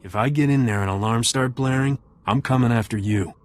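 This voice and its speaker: An actor playing a roguish, dry and sardonic smuggler captain in a video game. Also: male voice, medium pitch, a little fast, lightly acted. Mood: cool, pressing.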